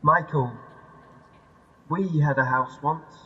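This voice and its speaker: A man's voice: fairly high pitch, slow. An actor in an audio drama playing an insistent, teasing man who prods like a nagging inner voice. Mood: probing. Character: needling male inner voice dredging up memories. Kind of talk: audio drama acting, poetic second-person narration. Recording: dull sound with long hall reverb.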